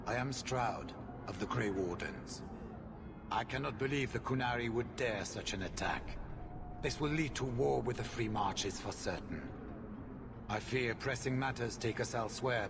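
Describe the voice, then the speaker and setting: An actor playing a stern male warrior of a sworn order, speaking in a formal, grave and measured way. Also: mid-range voice. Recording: game audio over ambient noise.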